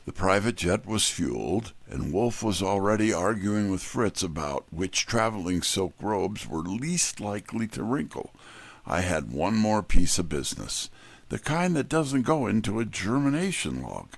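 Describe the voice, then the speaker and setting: A male actor plays a wry, candid detective's sidekick telling the story in first person. His voice is low with a creaky edge and expressive pitch movement. The recording is dry. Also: measured pace, no room sound, heavily acted. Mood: wry narration.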